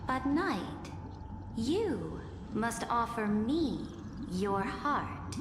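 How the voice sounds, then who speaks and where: A woman voicing an ambitious, commanding sovereign in a game, regal, deliberate and coaxing. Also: a little high, sing-song, noisy background, exaggerated voice. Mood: seductive, commanding. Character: imperious, ambitious female sovereign, seductive and commanding. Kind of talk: dramatic scripted game voice acting. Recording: echoey hall reverb under loud music.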